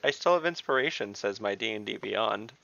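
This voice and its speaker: Gravelly man playing a tabletop game. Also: fairly low pitch, expressive, brisk, pushed and bright, lo-fi sound. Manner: jokey, thinking aloud. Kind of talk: casual game chat.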